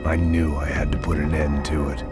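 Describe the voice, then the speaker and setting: An actor with an American accent playing a jaded, world-weary antihero, narrating a noir game in a deadpan, brooding way. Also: male voice, a bass voice, a little fast. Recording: loud music under the voice.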